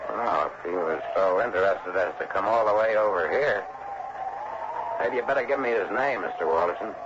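An American actor playing a gruff, world-weary male small-town chief in an early 1960s radio drama. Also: low-pitched, moderate pace, close to an everyday voice. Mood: businesslike. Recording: dull, noisy old radio broadcast.

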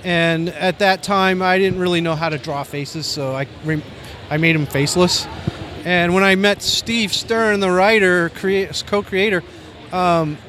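An American man in his sixties from Maine with a soft voice, reminiscing casually and a bit ramblingly in an interview. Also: high-pitched, fairly even intonation, unhurried. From Maine, United States. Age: 60s. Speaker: comic book artist, interview guest. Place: busy convention floor. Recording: faint convention floor noise.

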